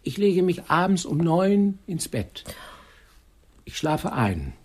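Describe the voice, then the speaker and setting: Meek, lonely old widower, lilting. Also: male voice, a little high, very animated, a little mellow, slightly rough, exaggerated voice. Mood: forlorn. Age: older. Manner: meek, rambling, halting, melancholy. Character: lonely, ageing widower intruding meekly for some company. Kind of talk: radio drama acting.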